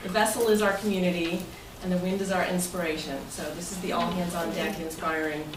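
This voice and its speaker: A woman's voice: lowish voice, level tone, brisk, crowd noise. A woman speaking as club president, giving an informal, playful presentation to a meeting and inviting answers. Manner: chatty and playful, jokes and invites audience answers. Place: meeting room, voice picked up at a distance. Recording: echoey noisy meeting room, distant mic.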